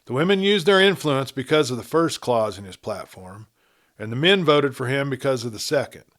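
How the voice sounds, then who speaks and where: A man with a medium-pitched voice narrates a western tale like an audiobook storyteller. His delivery is measured and dramatic. He swells on emphatic phrases and lets the ends of sentences trail away. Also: lively intonation, a bit bright.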